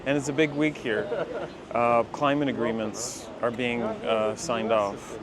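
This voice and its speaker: Male interviewee, mid-pitched and measured, pausing often, busy street bustle behind. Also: fairly even intonation, crowd noise.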